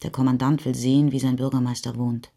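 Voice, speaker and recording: An actress playing the sober, measured narrator of a post-war chronicle in a German radio play. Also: female voice, extremely low pitch, little pitch movement, creaky, mellow, full character voice. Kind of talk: literary reading in a radio play.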